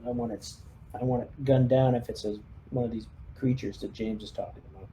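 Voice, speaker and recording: A man's voice: mid-range voice, steady tone, fairly quick, mellow, a little hiss or hum, rough recording. A man playing a squad leader in a tabletop roleplaying game, talking casually with the group. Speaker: player acting as squad leader.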